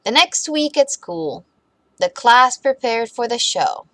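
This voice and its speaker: A woman reading a children's bedtime story aloud in an expressive, deliberate way for young listeners. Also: a little high, no echo.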